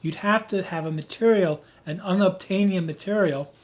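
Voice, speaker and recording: A man who has lost his voice, hoarse, giving an emphatic, rambling off-the-cuff tech explanation as a video host. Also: high voice, moderate pace. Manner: emphatic, rambling, with frequent restarts.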